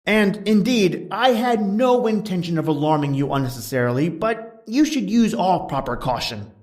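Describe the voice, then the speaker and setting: A man voicing a cunning, vengeful gentleman who feigns solicitous concern in a gothic horror story. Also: sits high, expressive, normal speed, pitched above the performer's own voice. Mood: falsely concerned.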